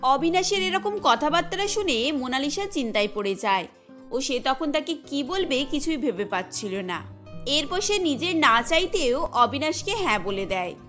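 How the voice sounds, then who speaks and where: A woman's voice: high-pitched, sing-song, normal speed, a bit bright. A woman reading scripted narration for a cartoon story, with music behind her voice.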